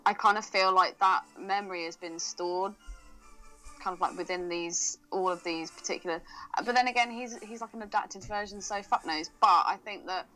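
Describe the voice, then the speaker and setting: A woman's voice: mid-range voice, fairly even intonation, normal speed, bright, forceful tone, poor audio. A woman co-hosting a podcast in casual chat, informal and slangy, with some swearing. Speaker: podcast co-host. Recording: music playing in the background. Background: film soundtrack music.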